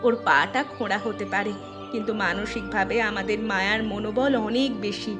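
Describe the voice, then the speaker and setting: A woman's voice: mid-range voice, brisk, over music, close to an everyday voice. A woman playing a devoted village mother and wife in a moral-story cartoon, caring yet firm. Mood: soothing. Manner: reassuring, scolding when cross.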